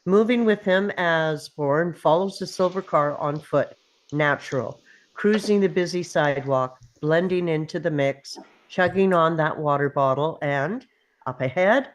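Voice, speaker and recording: Low-voiced woman reading screenplay action. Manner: clipped, punchy present-tense phrasing. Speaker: stage directions reader. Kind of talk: scripted narration of screenplay action.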